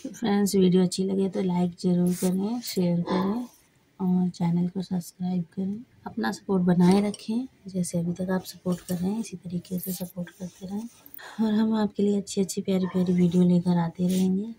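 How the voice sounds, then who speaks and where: A woman vlogger who is hoarse from a cold, talking slowly and plainly to camera while trying on socks at home. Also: fairly low pitch, steady tone, warm tone, a little hiss or hum, low-quality recording. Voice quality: husky. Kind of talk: casual vlog talk to camera. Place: indoors at home, camera on the floor.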